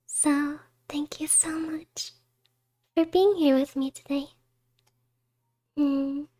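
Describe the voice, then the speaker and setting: Woman acting a shy, high-voiced adoring young wife. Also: steady tone, drawn-out words, long pauses. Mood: happy, affectionate. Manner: hesitant, tender, soft-spoken with breathy whispers. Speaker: ASMR roleplay voice actor. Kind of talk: ASMR romantic roleplay.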